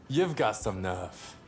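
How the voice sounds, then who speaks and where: A man's voice: mid-range voice, heavily acted. A man playing an easygoing, cheeky young samurai gambler in a video game cutscene, with a breezy, teasing manner.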